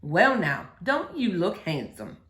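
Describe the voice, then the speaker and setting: Woman putting on a gentle, lower-voiced preacher dad. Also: sing-song, slow pace, reverberant, exaggerated voice. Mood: pleased, gentle. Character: gentle, preoccupied preacher father, soft-spoken grown man.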